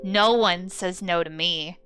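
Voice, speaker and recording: A woman playing a loud, cruel boy bully. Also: on the low side, faint music behind. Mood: taunting. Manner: loud, slow, taunting. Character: cruel, cocky boy bully, village gang leader.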